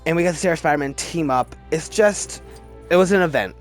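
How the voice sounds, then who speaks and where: A man with a slightly high voice talks casually as a video host over a music bed. He gushes like a fan, offers plain opinions and repeats words to press his point. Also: fairly quick. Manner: gushing fan enthusiasm, repeats words for emphasis. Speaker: video host ranking films.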